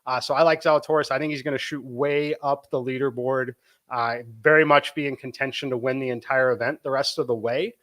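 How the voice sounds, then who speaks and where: A man giving golf betting analysis in a measured, analytical way. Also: medium pitch, fairly even intonation, a bit bright. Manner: measured, analytical, explains his pick.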